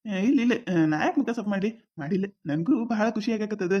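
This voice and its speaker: A man's voice: high-pitched, animated, a little fast, noisy background. A man with a North Karnataka accent voicing village characters in a cartoon comedy, in rustic dialect. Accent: North Karnataka Kannada. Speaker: cartoon voice artist. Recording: dull lo-fi sound.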